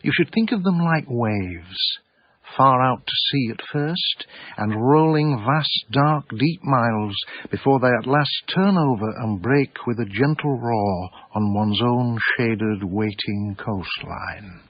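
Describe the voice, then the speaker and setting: An actor playing a wry, literary art dealer, narrating his own story in measured, florid phrases for radio drama. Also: medium pitch, lively intonation, husky, dry recording, muffled recording.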